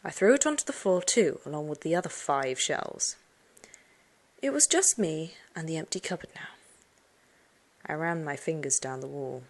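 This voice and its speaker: A woman reading a children's suspense story aloud, expressively, with dramatic hushed moments. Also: sits low, animated, moderate pace, pauses often. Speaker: audiobook narrator. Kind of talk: dramatic first-person storybook narration for children.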